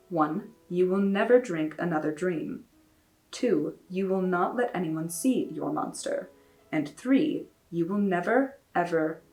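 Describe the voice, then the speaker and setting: A woman voicing a stern but gentle mother who speaks in a firm, measured way. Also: lowish voice, poor audio.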